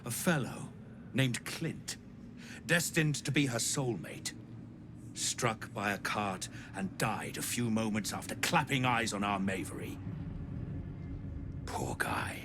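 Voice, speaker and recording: An actor playing a gruff, wry game trader who tells grim tales in a blunt, folksy way. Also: male voice, on the low side, sing-song, slow, long pauses, heavily acted. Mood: grim storytelling. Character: gruff unhurried male trader, sardonic messenger telling grim soul stories. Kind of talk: video game character dialogue. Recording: constant noisy ambience behind voice.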